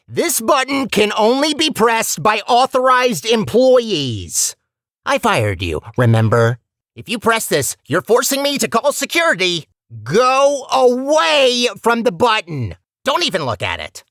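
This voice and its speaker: A man's voice: sits high, a bit bright, dry recording, clean studio sound, heavily acted. A man voicing a talkative robot core in a video game, a petty, scheming villain with a sarcastic, theatrical and condescending manner. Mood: menacing, exasperated. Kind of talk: video game character acting.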